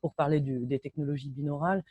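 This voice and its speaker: A French woman in her thirties giving an informal technical talk in an online meeting, often halting and correcting herself. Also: low voice, steady tone, warm tone, husky, no room sound. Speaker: sound engineer and lecturer. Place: indoors on a video call.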